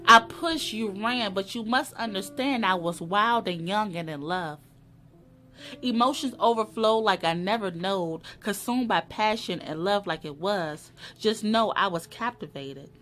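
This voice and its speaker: A woman giving a dramatic reading of poetry in short, emphatic, measured phrases. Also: mid-range voice, bright, forceful tone, faint music behind. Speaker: poetry reader.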